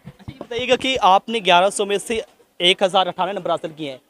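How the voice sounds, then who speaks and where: Male field host, high voice, formal and courteous, from Pakistan. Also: fast, a bit bright. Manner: formal, polite. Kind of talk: street interview reporting.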